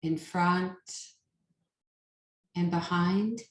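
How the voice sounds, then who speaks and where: A woman guides a meditation in a roomy, echoing space. Her voice sits a little low and she speaks very slowly. She is soothing and unhurried, leaving pauses between short phrases. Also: fairly even intonation. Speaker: yoga nidra meditation teacher.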